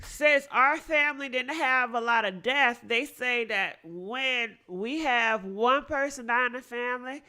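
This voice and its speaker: High bright woman, stuffed up. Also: unhurried, few pauses, smooth, soft background music. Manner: frank, swears freely, muses aloud. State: stuffed-up nose. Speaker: host. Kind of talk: casual livestream talk.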